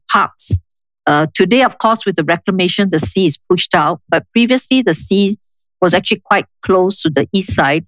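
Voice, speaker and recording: An older woman with a Singaporean accent, speaking in a measured, reflective, explanatory way as an interview guest. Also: on the low side, no room sound, rough recording. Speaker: interview guest, urban planning official. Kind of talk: conversational interview, reminiscing.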